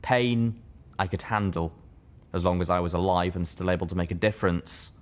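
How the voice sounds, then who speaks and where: A man with a deep, low voice narrates a story in the first person. He reads from a script in the manner of an audiobook. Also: normal speed. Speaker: fan fiction reader, narrator.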